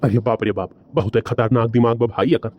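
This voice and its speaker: A man's voice: medium pitch, fairly even intonation, a little fast, no echo, dull audio, lightly acted. A man voicing an argumentative, excuse-making village husband with an Awadhi accent in a cartoon comedy. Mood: wary, quibbling. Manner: rambling, bantering, colloquial.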